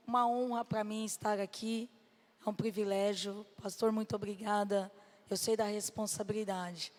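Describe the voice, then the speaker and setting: A woman with a Brazilian Portuguese accent and a slightly hoarse voice, giving a personal testimony to a church congregation as a guest missionary. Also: medium pitch. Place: church hall. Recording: reverberant hall sound through PA.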